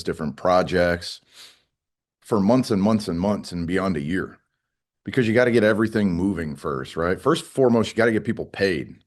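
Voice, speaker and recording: A middle-aged man with a very low voice speaks as a union spokesman in an interview. He explains things in a plain, conversational way, stressing points firmly and repeating phrases for emphasis. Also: a little mellow. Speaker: air traffic controllers' union spokesman.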